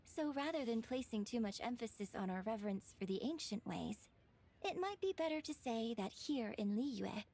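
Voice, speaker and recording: A woman's voice: a little high, animated, moderate pace, vocal fry, a little mellow. A woman voicing a courteous, cultured young lady in a video game, with polite, eloquent and formal phrasing. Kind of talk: scripted video game dialogue.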